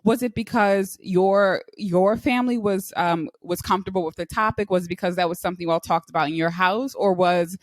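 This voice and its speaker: A woman with a fairly low voice leads an interview and asks thoughtful follow-up questions. Her voice sounds close and dry, with little room sound. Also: brisk, hardly any pauses, no room sound. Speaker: podcast host.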